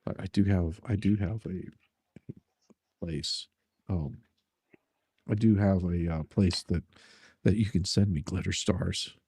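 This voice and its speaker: A man's voice: deep voice, steady tone, slow pace, long pauses, warm tone, husky, dry recording, studio quality. A man hosting a live stream in casual, joking chat with a teasing, sarcastic manner.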